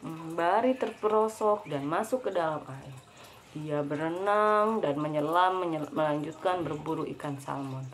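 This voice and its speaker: A woman's voice: deep voice, unhurried, slight background noise. A young woman reading a children's story aloud to a child, breaking off for chatty asides. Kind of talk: casual read-aloud storytelling.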